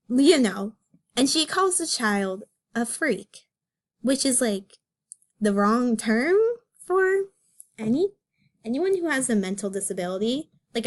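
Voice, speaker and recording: A woman giving casual, opinionated commentary in a slangy, exasperated way as an amateur reader. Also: fairly high pitch.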